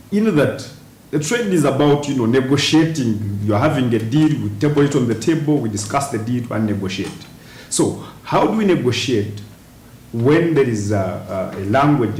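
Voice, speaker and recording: A man's voice: medium pitch, a little mellow. Man answering in a roomy studio. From western Uganda, near Congo and Rwanda borders. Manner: deliberate, frequent "uh" pauses and restarts. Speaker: panel guest. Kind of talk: studio panel discussion. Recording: roomy studio, faint hiss.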